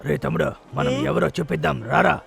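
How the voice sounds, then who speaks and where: A man voicing a scheming elder brother bear in a dubbed cartoon, bossy and gleeful, talking fast. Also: fairly even intonation. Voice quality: gravelly, swoops wildly in pitch. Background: music.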